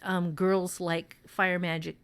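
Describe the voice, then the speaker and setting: A woman teaching fiction writing in a casual podcast conversation, speaking deliberately and repeating phrases for emphasis. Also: on the low side, little pitch movement, fairly bright tone, clear, resonant tone.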